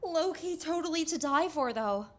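A woman's voice: sits high, expressive, moderate pace, noisy background. A woman voicing a food-obsessed young female raccoon who talks in dreamy teen slang.

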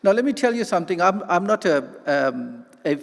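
A South African man preaching with fervor, his voice sitting high and stumbling into restarts as he builds a point. The sound carries a long reverb from a big church hall. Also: sing-song, fairly quick, vocal fry. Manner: passionate, rambling with restarts and laughs. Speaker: guest preacher. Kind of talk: sermon.

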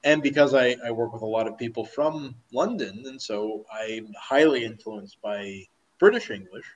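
A man's voice: mid-range voice, normal speed, dull audio. A man in a casual chat, explaining at length in a talkative way with fillers and restarts. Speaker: conversation partner.

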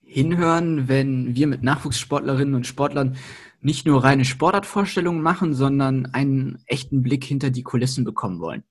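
A man with a husky, mid-pitched voice co-hosts a podcast. He asks long, friendly questions in a relaxed way. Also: moderate pace. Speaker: podcast co-host. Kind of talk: casual podcast interview.

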